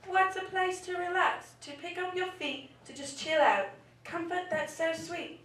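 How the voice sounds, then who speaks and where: Woman doing fussy little girl in a puppet show, high singsong rhymes, echoey room. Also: fairly even intonation, a little slow, fairly bright tone. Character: Goldilocks, wandering young girl, fussy and curious. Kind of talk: rhyming poetry puppet show performance. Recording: roomy, distant mic, faint noise.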